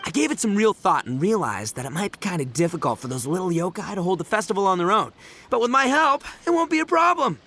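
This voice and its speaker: Game role: bombastic young oni braggart, bright, forceful, very animated, cocky and big-hearted. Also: male voice, high-pitched, fast, full character voice. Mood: boastful, excited. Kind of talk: video game voice acting.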